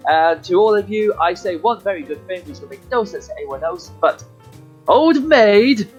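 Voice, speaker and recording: A man's voice: sits high, lively intonation, normal speed, husky. A young man co-hosting a casual live gaming stream, jokey and rambling with fillers. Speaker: co-host. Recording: dull lo-fi mic, faint music.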